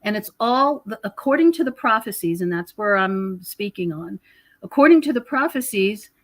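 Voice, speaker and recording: A woman's voice: medium pitch, lively intonation, moderate pace. A woman guest on a podcast, talking in an emphatic, preachy way about her beliefs, often repeating words and checking in with the listener. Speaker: podcast guest, author and researcher of prophecy. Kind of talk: conversational interview, spiritual explanation.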